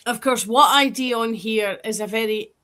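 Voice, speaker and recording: A woman's voice: fairly high pitch, normal speed, sharp tone. A woman with a northeast Scottish accent, chatting on a podcast and explaining history and local dialect. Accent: Scottish, northeast coast. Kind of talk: casual group chat.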